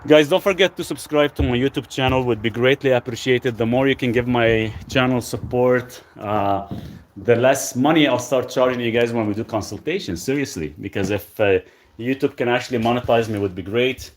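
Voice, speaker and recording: A man's voice: mid-range voice, brisk. A man from Lebanon hosting a live stream from his rural home, casually reading viewer questions aloud and answering them. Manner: relaxed, rambling, reads comments aloud then answers. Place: rural property, country home.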